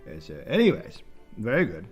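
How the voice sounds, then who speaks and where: A man plays a self-important British film critic with a slightly low voice. He rambles haltingly through a comic podcast review, easily sidetracked, with music playing behind him. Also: wildly swooping pitch, highs cut off. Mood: rambling, pleased.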